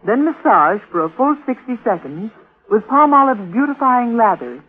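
A woman's voice: fairly high pitch, sing-song, moderate pace, breathy edge, narrow, tinny audio. A woman reading a scripted 1940s radio commercial in an instructional style. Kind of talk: 1940s radio advertising read. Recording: thin 1940s radio recording.

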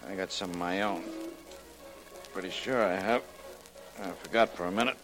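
A man's voice: deep voice, moderate pace, close to an everyday voice, rough recording. A man playing an offhand gangster, speaking in casual clipped mutters in 1940s radio acting. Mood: offhand, relaxed. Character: offhand gangster out for an evening smoke. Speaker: actor in a radio drama. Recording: old radio recording with faint music.

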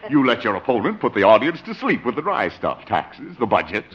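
An actor playing a wily, pompous small-time swindler posing as a campaign manager in 1950s radio comedy, with florid, theatrical salesmanship. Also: male voice, medium pitch, huge pitch swings, fairly quick, husky. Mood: triumphant, persuasive. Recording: muffled, noisy 1950s radio recording.

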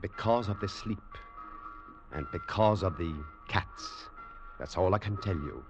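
A man's voice: low-pitched, a little slow, over music. A man playing an earnest, foreboding train passenger who gives cryptic warnings in a 1940s radio drama. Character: grave male train passenger giving cryptic, urgent warnings.

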